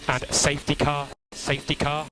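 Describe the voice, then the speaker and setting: A man working as a race engineer, giving calm, procedural briefings over team radio and repeating key instructions in a measured way. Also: a little high. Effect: team radio transmission. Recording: crackly noisy motor racing team radio.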